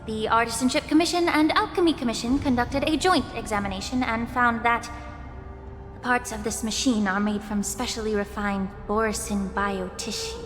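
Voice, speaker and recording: A woman plays a composed, resolute young warrior in a video game. Her voice is a little high, serious and precise, with long reverb and music behind it. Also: fairly even intonation, moderate pace, close to an everyday voice. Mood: serious, analytical. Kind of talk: scripted video game dialogue.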